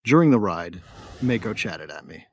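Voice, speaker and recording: A man's voice: on the low side, big pitch swings, moderate pace, creaky, slight background noise. A man playing a young bounty hunter in a sci-fi audio drama, telling his story in a wry, laid-back, cocky way. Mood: wry narration. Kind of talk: first-person audio drama narration.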